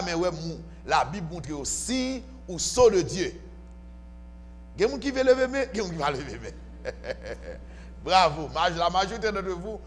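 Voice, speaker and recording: A man preaching to a congregation as pastor, emphatic and often asking questions, on a handheld mic in a church. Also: high voice. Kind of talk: sermon. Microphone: handheld microphone, filmed from far camera. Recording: church hall reverb with faint music.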